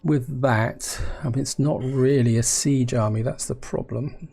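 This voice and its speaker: A man with a croaky, gravelly voice from a cold talks himself through a strategy game. His tone is mellow and low-key, and his husky rasp runs under every line as he mulls each choice aloud and now and then turns to the viewers. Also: fairly even intonation, measured pace. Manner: musing, self-questioning, talks to viewers. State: hoarse from a cold. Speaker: gameplay commentator.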